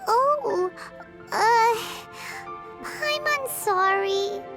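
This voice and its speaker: Squeaky, very high-pitched little fairy girl. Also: lively intonation, very slow, heavily acted. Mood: sad, apologetic. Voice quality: squeaky, childlike. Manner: refers to self in third person, emotive, gushing. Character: tiny childlike floating fairy girl companion, excitable and eager. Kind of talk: video game voice acting. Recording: game music behind the voice.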